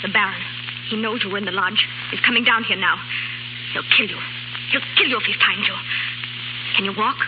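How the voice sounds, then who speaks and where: A woman playing a stern, bossy household woman, brisk and urgent, in 1930s radio drama style. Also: mid-range voice, close to an everyday voice. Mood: urgent, commanding. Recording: noisy, muffled 1930s radio broadcast.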